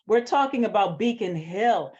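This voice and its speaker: A woman's voice: mid-range voice, animated, normal speed, a little mellow. An American woman, a screenwriter, speaking emphatically and with passion in a question-and-answer session after a script reading. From Boston, United States. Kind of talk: post-reading Q&A talk. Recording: dull lo-fi sound.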